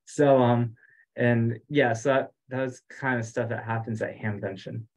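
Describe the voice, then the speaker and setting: A man with an average-pitched voice speaks informally on a group conference call. He sounds like the one organizing things, and he often repeats a phrase before he finishes it. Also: steady tone, a little slow, a little mellow. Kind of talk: casual meeting chat. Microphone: online conference call.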